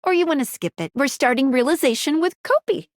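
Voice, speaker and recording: A woman's voice: high voice, expressive, brisk, no room sound, studio quality. A woman voicing a perky, quirky pair of talking magic glasses, a bubbly, self-deprecating guide in a video game, with cutesy, jokey delivery. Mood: chatty, advising. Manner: bubbly, cutesy, jokey with playful baby-talk and asides. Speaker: game guide and tutorial character. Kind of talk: animated video game character voice acting.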